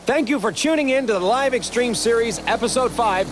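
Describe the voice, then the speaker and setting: An actor playing an excitable, showy host of a televised extreme racing series in a video game. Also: male voice, very high-pitched, a little fast, noisy background. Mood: hyped. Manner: showy, hyped announcer delivery. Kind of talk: sports broadcast presenting in a video game.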